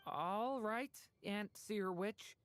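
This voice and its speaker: An innocent young boy, medium in pitch and slow to speak. He is an earnest, polite game character with soft, hesitant delivery. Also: slight background noise. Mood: earnest, unsure.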